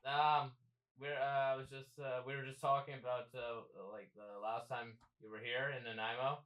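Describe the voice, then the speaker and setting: A man's voice: medium pitch, flat delivery, normal speed, fairly bright tone, roomy sound, far from the mic, low-quality recording. A man hosting a casual interview, asking questions in a rambling, filler-heavy way and swearing casually. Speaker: podcast host.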